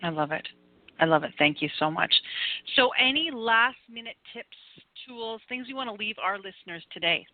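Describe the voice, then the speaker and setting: A woman's voice: a little high, animated, normal speed, no room sound, phone-quality sound. A woman hosting a conversational interview show, effusive and encouraging in the way she talks. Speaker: show host.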